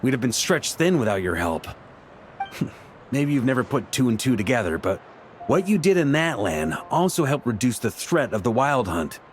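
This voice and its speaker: An actor playing a relaxed, friendly knight commander who talks in a reflective way. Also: male voice, medium pitch, moderate pace, slight background noise, natural voice. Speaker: knight back from a long expedition. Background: soft game music.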